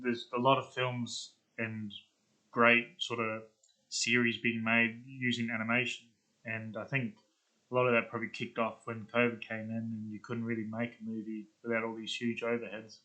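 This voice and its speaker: A man's voice: medium pitch, sharp tone, poor audio. A man hosting a video in a casual, rambling chat, thinking aloud as he goes. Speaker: video host.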